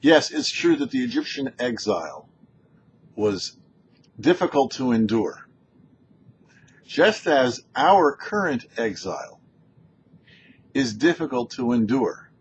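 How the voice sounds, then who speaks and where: A man, a rabbi hosting a show, teaching scripture in a deliberate, emphatic way. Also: mid-range voice, sing-song. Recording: dull lo-fi sound with background noise.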